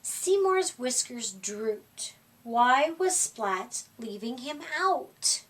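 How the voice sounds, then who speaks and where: A woman narrating a picture book for children in a gentle, expressive read-aloud manner. Her voice is high and very lively, and she takes her time. She sounds a little distant from the mic. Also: drawn-out words. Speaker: storytime reader. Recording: voice from far off the mic.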